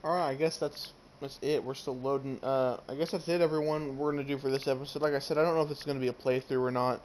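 Male gamer, quick pace, medium pitch, casual commentary, faint background noise. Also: steady tone, darkish tone.

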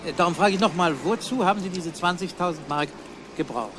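Actor as higher-voiced, probing male detective. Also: a little fast, lightly acted. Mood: insistent, sceptical. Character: probing, courteous, persistent male police detective. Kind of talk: German crime radio drama acting. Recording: noisy outdoor ambience behind dialogue.

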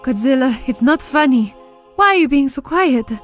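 A woman voicing a gentle, worried female dragon in a dramatic comic dub. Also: sits high, expressive, moderate pace, faint music behind. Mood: pleading.

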